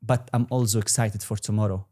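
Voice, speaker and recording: A man's voice: lowish voice, fairly even intonation, fairly quick, warm tone. A young man in his twenties whose first language is Arabic, talking casually as an interview guest, rambling with restarts and fillers. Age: 20s. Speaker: guest entrepreneur.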